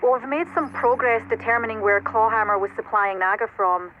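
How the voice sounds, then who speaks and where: Radio-filtered intelligence operator. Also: female voice, fairly high pitch, brisk, highs cut off. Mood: focused, informative. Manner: precise, formal reporting. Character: female military intelligence operator, earnest and alert. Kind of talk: video game cutscene voice acting. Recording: band-limited radio link, faint noise.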